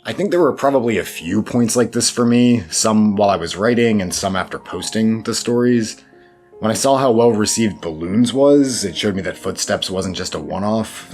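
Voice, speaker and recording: Low-voiced man, thoughtful and wry, over music. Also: moderate pace, darkish tone. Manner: thoughtful, self-deprecating, wry asides. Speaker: interview guest, horror fiction writer. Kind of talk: reflective interview answers. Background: background music.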